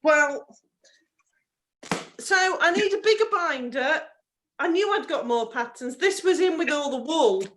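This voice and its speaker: A woman's voice: sits high, animated, a little slow, pauses often, fairly bright tone. A woman chatting as a podcast co-host, earnest and giggly, rambling through her explanations. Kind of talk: casual chat.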